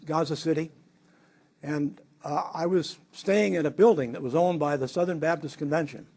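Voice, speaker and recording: A man with a slightly high voice recounts past events for an interview. He rambles a little, pausing with fillers and restarting phrases as he goes. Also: a little mellow. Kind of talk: casual interview recollection.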